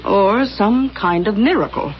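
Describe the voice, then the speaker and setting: An actress playing an earnest, pompous middle-aged doctor, declaiming emphatically in a radio comedy-drama. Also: female voice, medium pitch, measured pace, a little hiss or hum, close to an everyday voice. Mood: grave, earnest. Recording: dull old radio recording.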